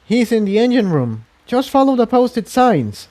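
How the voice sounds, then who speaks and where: A gentle, forgiving young pony mare from an adventure story, put on by a man, speaks in a high, earnest voice. Her reassuring delivery swings widely in pitch. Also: big pitch swings, a little slow, exaggerated voice. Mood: helpful.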